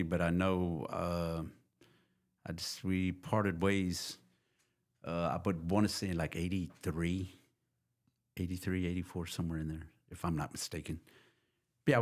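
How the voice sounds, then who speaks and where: An older man from Texas, a guest musician, telling long rambling stories in a relaxed chat. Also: deep voice. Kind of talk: casual interview storytelling.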